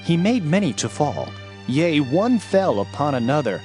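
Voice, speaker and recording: Solemn guy doing dramatic audio bible reading, lively pitch, music clearly playing behind him. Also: moderate pace. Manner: solemn, declamatory. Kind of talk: dramatic scripture reading, archaic English.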